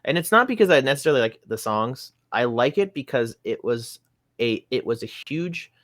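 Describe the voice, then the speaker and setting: A man's voice: medium pitch, expressive, normal speed, a bit bright, dry recording, studio quality. A young adult man, a musician guest on a podcast, chatting casually and rambling a bit as he thinks aloud.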